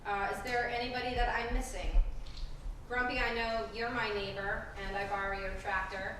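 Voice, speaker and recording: A woman's voice: a little high, level tone, moderate pace. A woman moderating a small farmers' forum in a town hall, friendly and informal with plenty of fillers. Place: wood-panelled town hall with seated audience. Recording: very echoey hall from far off, noisy.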